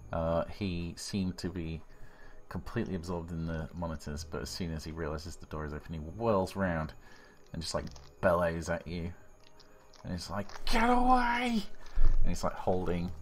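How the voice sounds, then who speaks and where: A man improvising narration as game master of a tabletop role-playing game, speaking informally with some swearing. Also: deep voice.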